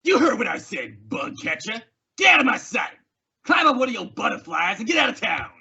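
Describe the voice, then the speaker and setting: An actor playing a menacing, mocking western outlaw bully with a raspy, harsh voice and jeering, drawling threats in an old-time radio drama. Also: male voice, extremely high pitch, huge pitch swings, brisk, sharp tone, muffled recording, heavily acted. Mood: contemptuous.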